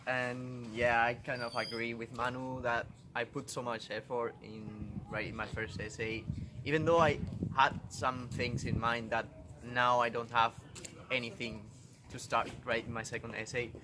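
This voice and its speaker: A man's voice: medium pitch, flat delivery, normal speed. A young man, an international student talking in a loose, rambling way. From Spain. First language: Spanish. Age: young adult. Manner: rambling, laughs between phrases. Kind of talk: casual chat. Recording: noisy background.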